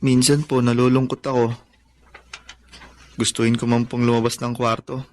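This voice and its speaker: A man's voice: medium pitch, level tone, normal speed, a little mellow, slight background noise, natural voice. A man playing a meek, sad Filipino eighteen-year-old in a radio drama, polite and subdued.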